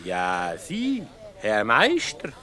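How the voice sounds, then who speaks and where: An actor playing a jovial, self-assured company director with a Swiss German accent, gallantly flattering in a radio comedy. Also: male voice, lowish voice, extremely slow, exaggerated voice. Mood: jovial, probing.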